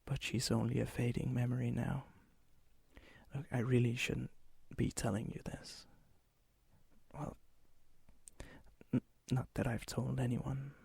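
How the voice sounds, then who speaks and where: A man playing a lonely, gentle, melancholy vampire in an ASMR roleplay, speaking softly and hesitantly, often near a whisper. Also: mid-range voice, little pitch movement, gravelly, husky, no echo, exaggerated voice. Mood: wistful, sorrowful. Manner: hesitant, wistful, halting. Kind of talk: ASMR roleplay, intimate acted monologue.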